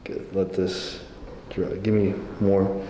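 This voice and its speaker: Low-voiced male chiropractor in echoey room. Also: level tone, slow pace, mellow. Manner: gives short step-by-step directions and reassurance. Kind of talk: casual hands-on patient instruction. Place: indoor treatment room. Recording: echoey room with faint background noise.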